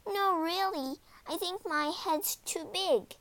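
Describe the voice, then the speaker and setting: A woman's voice: extremely high pitch, slow, a bit bright, dry recording, voice pushed up, exaggerated voice. A woman voicing a polite, anxious yet brave young girl in a children's storybook, speaking earnestly and a little hesitantly.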